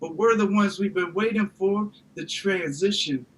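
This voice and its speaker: A man's voice: high voice, normal speed, a bit bright, a little hiss or hum, low-quality recording. A man reciting rhyming slam poetry in a rhythmic, emphatic spoken-word delivery. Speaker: slam poet.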